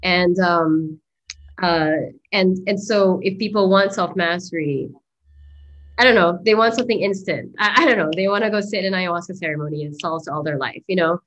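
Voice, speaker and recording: Woman interviewer, lower pitch, rambly and excited, lots of um and you know. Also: moderate pace, lo-fi sound. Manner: enthusiastic, musing, many fillers. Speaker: summit host. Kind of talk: interview hosting, spiritual talk.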